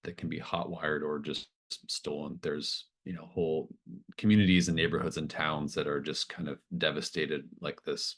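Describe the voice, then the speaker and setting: A man's voice: rumbling low, level tone, normal speed. A man running a tabletop roleplaying game as game master, talking casually and explaining things in an encouraging way.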